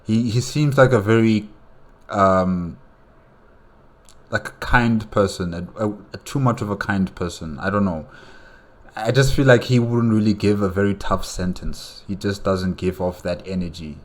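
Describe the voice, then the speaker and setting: A man with a fairly low voice gives casual reaction commentary. He talks haltingly, repeating himself while he looks for the next word. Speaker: reaction video host.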